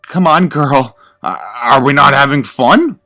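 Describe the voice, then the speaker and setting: Man acting a gleeful, menacing cannibal killer, pitched up. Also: a little slow, pushed and bright, soft background music. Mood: gloating glee. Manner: menacing, taunting, savoring. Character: gleeful sadistic male cannibal killer, hungry and taunting. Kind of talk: horror story character acting.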